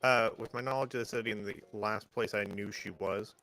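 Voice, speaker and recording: A man with a gravelly, fairly low voice plays a tabletop roleplaying game. He banters and plans in character, blunt and profane, over faint background music. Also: steady tone, a little fast, sharp tone, rough recording. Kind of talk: casual in-character banter and planning.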